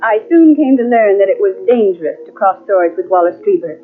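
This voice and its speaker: Woman playing a principled secretary, vintage radio mystery, formal, restrained, medium pitch, narrow tinny sound. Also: lively intonation, brisk, loud music behind the voice, lightly acted. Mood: grave recounting. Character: guarded, principled female secretary narrating her ordeal. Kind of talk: old-time radio mystery acting and narration. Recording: tinny, band-limited old radio cassette transfer.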